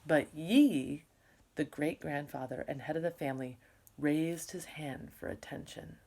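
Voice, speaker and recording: A woman's voice: low-pitched, measured pace, gravelly, a little mellow, breathy edge. A woman teacher reading a story aloud expressively, with casual, instructional asides to her students.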